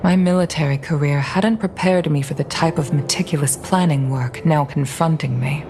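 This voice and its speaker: An actress playing a weary middle-aged war veteran commander in a video game, solemn and reflective. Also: female voice, low-pitched, moderate pace, background noise. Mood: solemn, regretful. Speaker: helm master. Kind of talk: dramatic game monologue. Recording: game music behind the voice.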